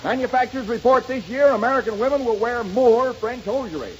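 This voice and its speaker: An actor playing a brash newspaper gossip reporter with snappy, urgent delivery in a 1930s radio comedy. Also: male voice, extremely high pitch, brisk. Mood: brisk, urgent. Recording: dull, hissy 1930s radio recording.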